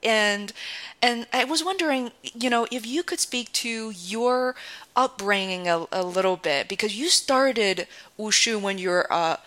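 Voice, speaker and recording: Bright-voiced host with Cantonese accent. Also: female voice, medium pitch, a little slow. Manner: polite, self-effacing. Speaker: interview host. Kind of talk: interview questions.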